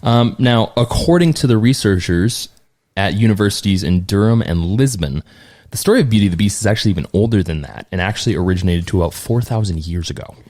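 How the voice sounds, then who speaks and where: Man, podcast host, lively pitch, casual storytelling, joking asides. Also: fast. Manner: animated, retelling a fairy tale with asides.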